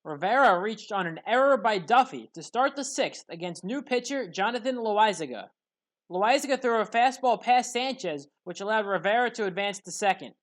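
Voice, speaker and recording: A woman delivering scripted play-by-play narration as a sports highlights commentator.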